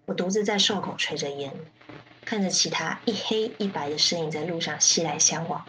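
Woman as a low-voiced, jaded drama narrator. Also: normal speed, fairly bright tone, slight background noise. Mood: detached. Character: detached, jaded riot-squad member narrating grimly. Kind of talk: first-person radio drama narration.

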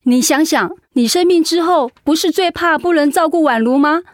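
Actress as high-voiced chiding confidante. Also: female voice, moderate pace, soft background music, lightly acted. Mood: chiding, affectionate. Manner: chiding, persuasive. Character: frank, scolding, caring female confidante. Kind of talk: radio drama acting.

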